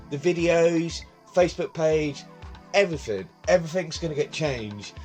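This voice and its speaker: Young man, slightly high voice, rambling vlog chat, phone mic in bedroom, faint music behind. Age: 20s. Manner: rambling, chatty, repeats himself. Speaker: vlog host. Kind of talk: casual talking-to-camera vlog. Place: his bedroom, indoors. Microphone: phone camera on tripod.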